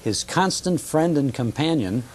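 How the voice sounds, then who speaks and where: A man's voice: a little high, expressive, a little slow, darkish tone. A man talking conversationally as a guest expert on radio history, enthusiastic and emphatic, repeating phrases for effect.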